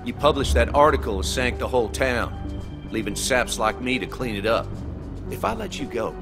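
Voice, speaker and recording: A menacing corrupt lawman from a video game, acted by a man, mid-pitched and mocking. He talks in a deliberate, folksy, taunting way, with loud music underneath. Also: animated, natural voice. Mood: menacing, scornful. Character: corrupt cop turned criminal, menacing, mocking, cornering his hostages. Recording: dramatic music behind.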